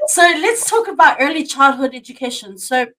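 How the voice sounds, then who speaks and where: A woman hosts a relaxed podcast interview, chatty and expressive, her sentences full of "like" and "you know". Her voice sits slightly high. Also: normal speed, poor audio. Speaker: podcast host. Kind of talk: casual interview chat.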